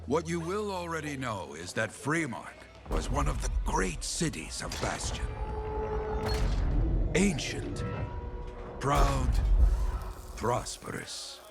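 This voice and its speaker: A solemn male storyteller in a video game. He speaks slowly and gravely, his pitch moving widely for drama, with prominent music behind him. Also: very animated, exaggerated voice. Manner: solemn, measured. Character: grave male storyteller recalling old tragedy. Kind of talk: dramatic game cutscene narration.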